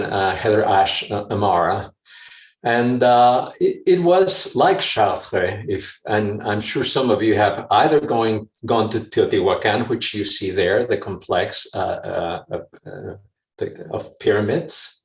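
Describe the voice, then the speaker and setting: A man from Mexico City telling a personal story to an audience in a reflective way, pausing often with fillers and restarts. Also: fairly low pitch, roomy sound, far from the mic, poor audio. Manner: hesitant, many fillers and restarts. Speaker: speaker sharing a personal story.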